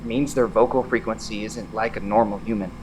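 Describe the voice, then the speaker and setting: A man's voice: lowish voice, steady tone, fairly quick, darkish tone, slight background noise, natural voice, poor audio. A man playing a level-headed, teasing audio-tinkering friend, matter-of-fact, in an audio drama. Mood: sceptical, teasing. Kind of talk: naturalistic audio drama dialogue.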